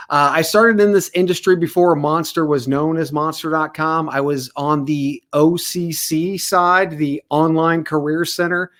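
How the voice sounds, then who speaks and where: A man from the United States hosts an online panel in a casual, joking way. His voice sits a little higher than most men's. He speaks loosely and with energy, often with a grin in his tone. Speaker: host.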